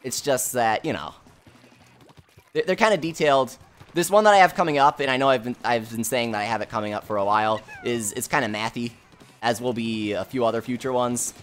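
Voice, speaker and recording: A man's voice: mid-range voice, a little fast. A young man streaming live, reading chat messages aloud in an upbeat way, talking close to his mic. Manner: upbeat, reads chat aloud with frequent laughs. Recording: faint game music behind. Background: game music.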